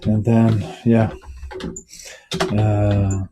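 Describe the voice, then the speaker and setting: A man whose first language is Bosnian, rambling casually with many pauses as he talks through his computer setup. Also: fairly low pitch, flat delivery, background noise. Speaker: vlogger. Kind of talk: casual screen-recorded desktop talk.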